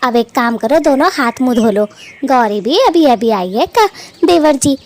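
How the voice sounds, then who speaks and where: A woman's voice: high voice, expressive, normal speed. A woman voicing a fond, scolding, matchmaking elder sister bird in a cartoon story, with a homely, bossy, nagging manner. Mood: fussing, coaxing. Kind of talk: animated cartoon story dialogue.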